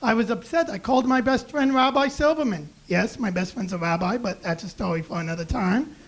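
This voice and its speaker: Young man, very high raspy voice, deadpan self-mockery, stand-up comic on a club mic. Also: a little fast, poor audio. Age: young adult. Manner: self-deprecating deadpan delivery. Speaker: stand-up comedian. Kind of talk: stand-up comedy set. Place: comedy club stage. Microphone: handheld stage mic.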